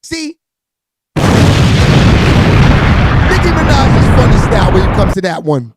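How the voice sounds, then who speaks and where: A raspy-voiced man hosting a live entertainment news stream, giving hyped, slangy hip-hop gossip commentary with lots of shout-outs to viewers. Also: high voice, big pitch swings, a little slow, over loud music.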